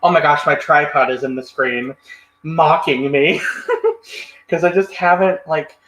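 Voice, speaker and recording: A man's voice: fairly high pitch, fairly even intonation, unhurried, fairly bright tone, reverberant, low-quality recording. A man hosting a casual livestream, reading and answering chat comments in a rambling, friendly way with lots of fillers and restarts. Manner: friendly, thanks viewers often. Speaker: livestream host.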